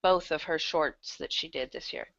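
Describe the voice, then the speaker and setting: Woman with a creaky, lower voice, lo-fi. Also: animated, normal speed, fairly bright tone, no echo. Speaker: guest, audio drama producer. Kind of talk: casual interview talk.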